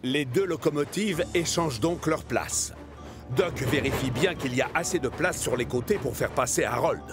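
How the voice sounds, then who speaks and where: A man's voice: mid-range voice, moderate pace, over music. A man narrating a children's cartoon as a storyteller, reading a scripted tale.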